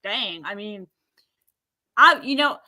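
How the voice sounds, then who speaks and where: A woman guest on a talk show, chatting in an animated way and acting out what people say. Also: medium pitch, slow pace. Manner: animated, acts out quoted speech. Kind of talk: casual chat.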